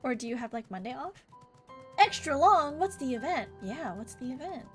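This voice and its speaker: High-voiced American woman chatting on stream. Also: moderate pace. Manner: animated, gossipy, casual. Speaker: game streamer. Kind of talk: live stream chat while reading game dialogue aloud. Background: music.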